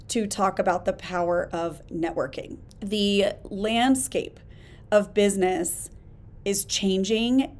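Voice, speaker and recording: A woman hosting a solo podcast, talking in an energetic, emphatic, conversational way with a hoarse voice while she gets over a chest illness. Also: mid-range voice. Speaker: podcast host. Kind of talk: casual solo podcast talk.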